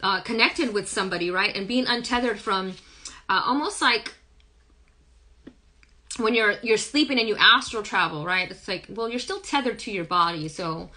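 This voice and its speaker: A woman in her thirties with a hoarse, croaky voice, chatting casually through a live-stream reading in a small wood-paneled room, recorded on a phone. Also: mid-range voice, normal speed, pushed and bright. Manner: chatty, rambling, hums song lyrics. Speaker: spiritual reader. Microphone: phone camera at arm's length.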